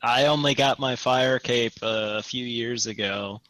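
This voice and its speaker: Male podcast panelist, bright forward tone, middle pitch, informal, dry sound. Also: fairly even intonation, unhurried, no echo, rough recording. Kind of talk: casual chat about gaming.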